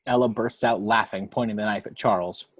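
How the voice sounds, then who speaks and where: A man's voice: on the low side, fairly even intonation, brisk, husky, no echo. A man narrating stage directions from a script at an amateur table read.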